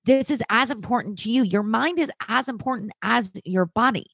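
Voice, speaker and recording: An actress as a podcast guest, talking candidly and with energy in a casual conversation, swearing freely. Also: female voice, a little high, moderate pace, vocal fry, breathy edge, no room sound, clean studio sound.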